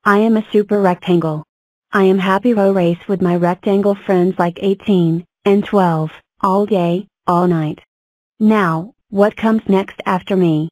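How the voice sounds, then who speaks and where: A woman's voice: medium pitch, steady tone, a little slow, darkish tone, no room sound, rough recording. A woman in a mid-range voice reads simple cartoon lines evenly. The sound is dry and close. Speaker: voice of number characters. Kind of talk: fan cartoon voice-over.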